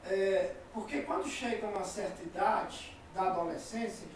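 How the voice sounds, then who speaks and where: A man's voice: sits high, moderate pace, noisy background. Brazilian man in his forties, echoey. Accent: Brazilian Portuguese. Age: 40s. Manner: calm, agreeing, tells personal examples. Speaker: talk show guest. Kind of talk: conversational talk. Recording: echoey room, voice from far off.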